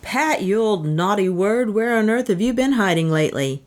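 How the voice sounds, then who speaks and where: A woman's voice: medium pitch, expressive, normal speed, pitched above the performer's own voice, close to an everyday voice. A woman voicing a skeptical, wisecracking male federal office boss in a storybook, dry and scoffing. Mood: hearty.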